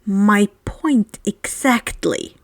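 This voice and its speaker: A woman's voice: medium pitch, animated, very slow, creaky, no room sound, voice pushed down, full character voice. A woman voicing a sneering, sardonic male teacher in a storybook, with a dry, disdainful and formal manner. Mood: dry sarcasm.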